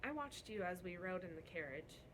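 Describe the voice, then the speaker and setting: Woman voicing a young servant girl, storybook dialogue, soft, hesitant, earnest, noisy recording. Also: fairly low pitch, expressive, moderate pace, natural voice. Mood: gentle, hesitant. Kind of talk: storybook read-aloud dialogue.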